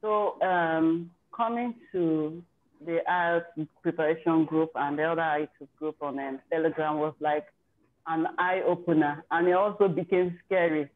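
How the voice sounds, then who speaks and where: A woman speaking over a video call, her voice thin and phone-like. She tells her story in a candid, chatty way, circling back to fix her wording as she goes. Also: low voice. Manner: chatty and candid, with self-corrections. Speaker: participant sharing her exam success story.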